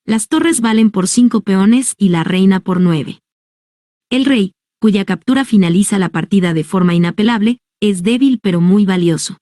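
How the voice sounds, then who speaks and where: A woman reading a nonfiction book aloud as scripted narration, in a calm and measured way. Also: mid-range voice, no echo, studio quality. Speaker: audiobook reader.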